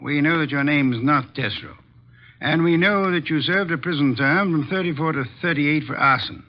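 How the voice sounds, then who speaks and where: An actor playing a shrewd, imperious private detective with formal, deliberate speech in an old radio drama. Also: male voice, on the high side, lightly acted. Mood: blunt, pressing. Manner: formal, deliberate, precise questioning. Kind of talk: 1940s-50s radio detective drama acting. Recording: dull old radio broadcast recording.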